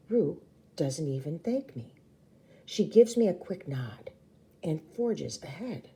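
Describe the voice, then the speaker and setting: Female read-aloud storyteller, teacherly and emphatic, very warm dark timbre, on the lower side. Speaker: teacher reading a novel aloud to children. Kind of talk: expressive storybook read-aloud with brief explanations.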